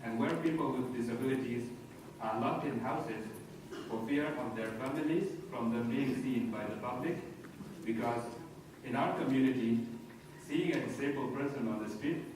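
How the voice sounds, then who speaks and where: A man with a South Sudanese accent telling a personal story as a speech, in deliberate short phrases. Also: medium pitch. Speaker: conference speaker. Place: large echoey hall. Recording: very echoey hall, distant mic, noisy.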